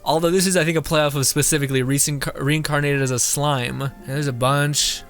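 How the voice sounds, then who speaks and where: A man's voice: fairly high pitch, fairly even intonation, fairly quick. A young man doing casual, jokey game commentary and reading text aloud, with game music behind. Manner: giggly, informal, jokey. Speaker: game streamer.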